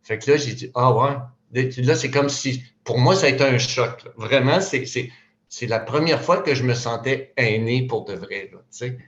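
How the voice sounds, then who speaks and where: Man, 60s, Quebec French accent, halting casual talk, echoey room, muffled lo-fi sound. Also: medium pitch, a bit bright. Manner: hesitant, many fillers and restarts. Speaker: panel speaker, retired psychoeducator. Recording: roomy, dull lo-fi sound.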